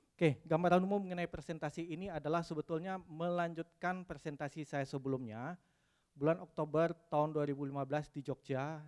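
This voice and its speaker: A man from West Sumatra giving a technical conference presentation, pausing often with "eh" and dropping in English terms. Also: fairly high pitch, a little mellow, clean tone. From West Sumatra, Indonesia. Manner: hesitant, frequent "eh" fillers, mixes in English terms.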